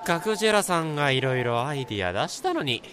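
An actor playing an excitable, gossipy and anxious junior office worker in a Japanese radio drama. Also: male voice, fairly high pitch, fast, slight background noise. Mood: indignant, gossipy.

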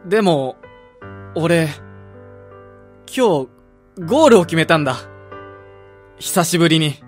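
A higher-voiced, wounded young athlete. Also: male voice, animated, slow pace, soft background music. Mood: hopeful, forgiving. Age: young adult. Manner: frank, emotional, direct. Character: proud, wounded young footballer son. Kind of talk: radio drama acting.

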